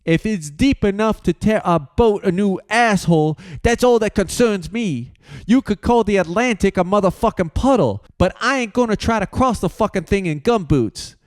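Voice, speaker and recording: A profane, furious hustler snaps and yells in a storybook scene, voiced by a man. The voice sits well above a plain male register with an odd, pushed timbre, and the sound is close and dry. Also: sits high, lively intonation, moderate pace, no room sound, voice pushed up. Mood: angry. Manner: profane, shouting. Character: crude angry male hustler.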